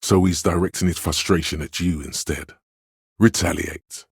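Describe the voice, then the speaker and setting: An actor playing the inner voice of authority in a video game, a proud, domineering man speaking with deliberate, imperious command. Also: low voice, steady tone, gravelly, husky, dry recording, studio quality, heavily acted. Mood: stern, appraising. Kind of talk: scripted video game voice acting.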